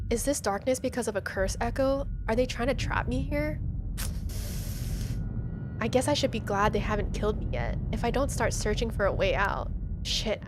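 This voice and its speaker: A woman livestreaming a game, reading its text aloud and reacting in casual slang. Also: a little high. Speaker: streamer playing a horror visual novel.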